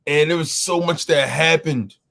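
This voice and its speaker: A man's voice: a little high, normal speed, vocal fry, a bit bright. A man hosting a casual live sports call-in stream, his voice a little scratchy as he keeps greeting viewers and repeating himself. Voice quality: slightly scratchy voice. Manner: repetitive, exclaiming, greets chat viewers. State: throat a bit rough. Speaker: host of a sports call-in show.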